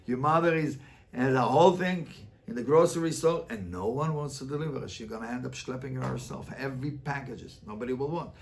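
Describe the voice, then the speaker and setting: A man's voice: medium pitch, fairly quick, gravelly. A man teaching a Talmud class as a rabbi, reading and translating line by line with emphatic questions and repeated phrases. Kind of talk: interactive lesson, reading and translating a text line by line.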